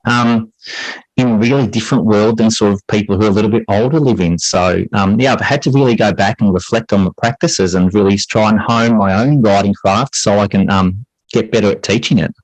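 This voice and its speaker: A middle-aged man speaks as an interview guest in a fairly low voice. He explains things reflectively and conversationally, restating phrases to stress them. The sound is very dry, with hardly any room on it. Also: brisk, dry recording, low-quality recording. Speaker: interview guest, high school English teacher.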